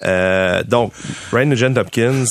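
A man, a sports journalist who lays out hockey trade ideas in a measured way, thinking aloud with fillers. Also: mid-range voice, dry recording, clean studio sound. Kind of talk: casual hockey analysis.

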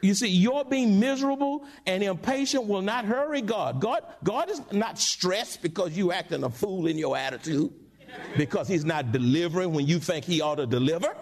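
A male pastor delivers a sermon in a church, his voice sitting high and swinging widely in pitch. He calls on the congregation to answer back and breaks into sudden squeaky asides. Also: animated, normal speed. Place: church sanctuary.

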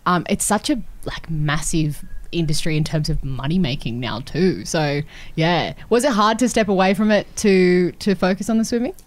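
Low-voiced woman podcast host. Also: expressive, normal speed. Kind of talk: interview questions.

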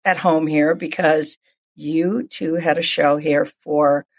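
Female radio host, very husky, low pitch, effusive, encouraging, conversational interviewing. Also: steady tone, takes it slowly.

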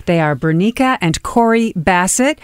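A woman's voice: mid-range voice, animated, moderate pace, smooth, no room sound, studio quality. A woman hosting a travel interview show. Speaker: host.

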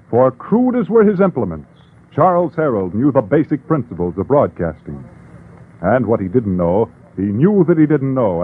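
A man's voice: medium pitch, husky. A man narrating in an emphatic, theatrical scripted 1940s radio style. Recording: tinny 1940s radio broadcast.

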